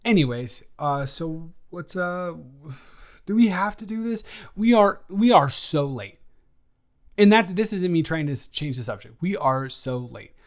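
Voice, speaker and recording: A man playing a flustered, evasive young roommate in an improvised audio roleplay, rambling and halting with theatrical exasperation. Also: on the high side, lively intonation. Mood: nervous stalling. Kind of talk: improvised intimate roleplay monologue.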